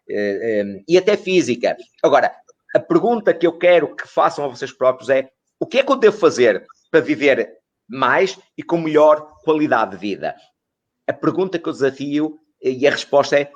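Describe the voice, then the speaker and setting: A middle-aged man from Portugal speaks at a medium pitch. He explains things didactically as an expert interview guest, building his points with repetition and rhetorical questions. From northern Portugal.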